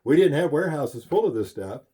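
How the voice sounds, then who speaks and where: A man's voice: fairly high pitch, sing-song, brisk, mellow, husky. A man who owns the museum, giving an easygoing, knowledgeable guided tour. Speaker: museum owner.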